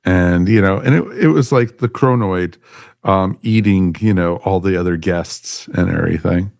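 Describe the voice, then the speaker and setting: A man talking casually as a podcast panelist, speaking emphatically and quick to agree. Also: deep voice, gravelly, darkish tone, dry recording, clean studio sound. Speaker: podcast panelist reviewing audio drama. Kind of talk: casual conversational review.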